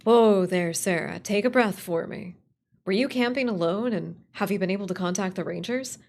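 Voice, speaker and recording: A composed radio call-in host , voiced by a woman, from a spooky radio play. The delivery is measured and authoritative, reassuring the caller, with a creaky edge. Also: mid-range voice, darkish tone, natural voice. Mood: calm, reassuring. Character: calm, steady radio call-in host guiding frightened caller.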